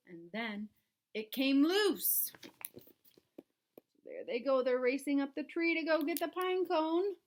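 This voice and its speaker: A woman reading a picture book aloud for young children, expressive and dramatic, with chatty asides to her listeners. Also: on the high side. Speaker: children's read-aloud presenter. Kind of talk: animated storybook read-aloud for young children.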